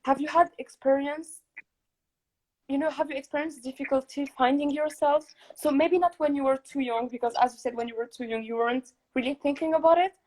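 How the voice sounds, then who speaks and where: Woman, young, from Morocco, high voice, podcast host, chatty, laughs often, video call. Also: steady tone, fairly quick, darkish tone, low-quality recording. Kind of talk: casual interview chat.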